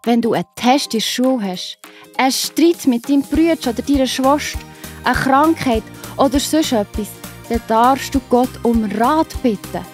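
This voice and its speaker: A woman's voice: fairly high pitch, normal speed, faint music behind. A woman with a Swiss German accent narrating a scripted children's story expressively.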